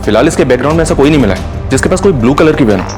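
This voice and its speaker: A man's voice: medium pitch, animated, rapid-fire, close to an everyday voice. An actor playing a dutiful junior police officer in a TV drama, a man with an Indian accent reporting respectfully and plainly. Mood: serious, reporting. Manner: matter-of-fact, respectful. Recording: loud background music under dialogue.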